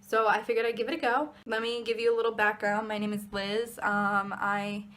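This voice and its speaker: A woman's voice: mid-range voice, little pitch movement, brisk, a bit bright. A young American woman in her twenties, a college student, talking casually to camera in a rambling vlog with many fillers and restarts. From United States. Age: 20s.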